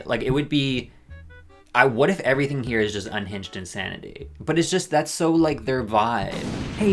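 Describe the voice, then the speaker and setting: A man giving a rambling, sarcastic video commentary with deadpan asides. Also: mid-range voice, gravelly. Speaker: commentary YouTuber. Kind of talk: ranking commentary.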